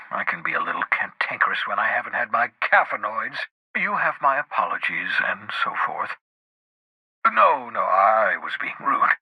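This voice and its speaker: An actor playing a cantankerous, socially awkward scientist in a video game, raspy, with a fussy, wordy, theatrical delivery. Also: male voice, lowish voice, bright, forceful tone, no room sound, exaggerated voice, poor audio. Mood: awkward, apologetic. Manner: fussy, wordy, dramatic, with muttered asides.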